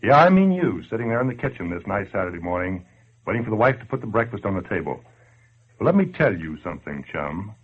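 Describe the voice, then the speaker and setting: A man's voice: lowish voice, sing-song, quick pace, breathy edge. An American man acting as a brash, wisecracking 1940s radio narrator who badgers the listener in sardonic slang. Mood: sardonic goading. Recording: tinny, band-limited old radio broadcast.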